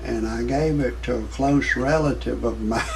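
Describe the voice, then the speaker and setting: A man in his sixties giving rambling, off-the-cuff commentary in a folksy, repetitive, halting way, as an amateur video host. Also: medium pitch, recorded from a distance, background noise. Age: 60s.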